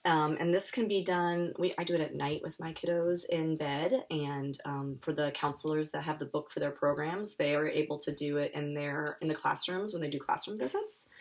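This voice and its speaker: A woman, an author, reading her children's picture book aloud and talking it through for a young audience, with expressive delivery. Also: low voice, normal speed, few pauses. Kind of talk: live children's story time reading and friendly explanation.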